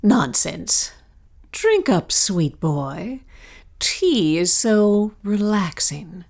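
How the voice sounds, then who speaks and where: A scheming governess in an audio melodrama. She speaks slowly and primly, with a syrupy false sweetness and big swoops of pitch. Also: very animated, gravelly, darkish tone, heavily acted. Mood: cloying. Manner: cooing, prim, syrupy. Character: scheming governess feigning sweetness toward her charges. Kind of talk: melodramatic audio drama acting.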